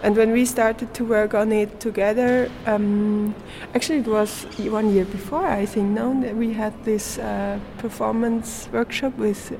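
Slow, halting young woman from Switzerland. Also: on the high side, steady tone, gravelly, background noise. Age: young adult. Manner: hesitant, searching for words, with small laughs. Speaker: performance artist, art student being interviewed. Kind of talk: casual interview in non-native English.

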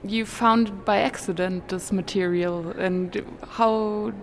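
A woman's voice: mid-range voice, steady tone, takes it slowly, slight background noise. A woman interviewing two artists, asking curious questions and helping them find words.

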